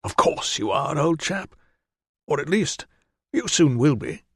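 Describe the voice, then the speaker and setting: A brisk, kindly Roman doctor, fussing over others with cheery reassurance, as a male audiobook narrator puts him on. The voice sits higher than the reader's own and the recording is close and dry, with no room sound. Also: big pitch swings, husky, no room sound, exaggerated voice. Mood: reassuring. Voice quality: brisk, reassuring, says 'old chap'.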